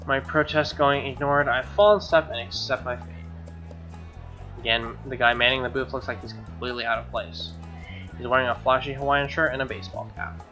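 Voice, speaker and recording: A man's voice: mid-range voice, fairly even intonation, brisk, pushed and bright. A man reading a visual novel aloud as a let's play host, with wry, joking casual asides. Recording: dull lo-fi sound, music behind.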